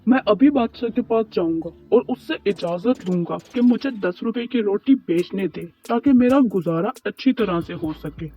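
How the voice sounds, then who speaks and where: A man's voice: high-pitched, expressive, moderate pace, few pauses. A man playing a poor, worried, humble bread baker in an Urdu moral cartoon story, pleading and deferential. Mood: insistent. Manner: pleading, deferential, talks to himself. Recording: muffled lo-fi track with music behind.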